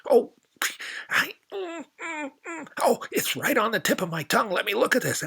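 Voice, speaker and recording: A man's voice: very high voice, very animated, moderate pace, gravelly, sharp tone, slightly rough, voice pushed up, heavily acted. A man voicing a forgetful female anteater who stammers and frets. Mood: flustered. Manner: flustered, stammering.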